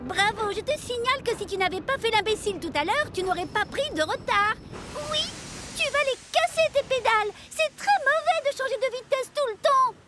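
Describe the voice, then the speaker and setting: A woman's voice: extremely high pitch, expressive, normal speed, over music. A woman voicing a grumpy, fretful talking railway coach in a children's cartoon, scolding and exclaiming.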